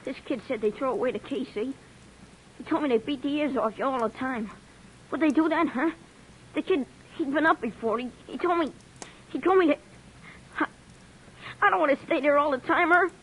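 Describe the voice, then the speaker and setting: A woman's voice: high-pitched, normal speed, long pauses, a bit bright, slight background noise, low-quality recording. A woman voicing a tough but frightened teenage gang boy who talks in streetwise slang, in 1940s radio acting style. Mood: scared. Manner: streetwise slang, sneering then pleading.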